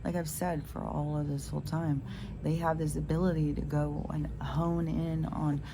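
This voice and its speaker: A woman's voice: low-pitched, level tone, moderate pace. A middle-aged woman with a stuffed-up nose rambling casually outdoors, full of fillers and restarts. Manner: meandering, many fillers and restarts. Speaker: spiritual vlogger. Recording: noisy outdoor phone recording.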